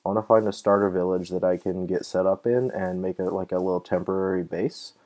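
A young man with a deep, mellow voice narrates his gameplay in a loose, chatty way. He gets excited easily and thinks aloud with plenty of fillers. He's a little sick, so his voice sounds slightly off. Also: a little fast. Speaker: gaming channel host. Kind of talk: casual let's-play commentary.

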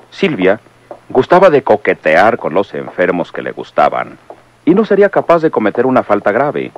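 Male narrator, very deep voice, lively pitch; dull vintage tape with hiss. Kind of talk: scripted radio drama narration.

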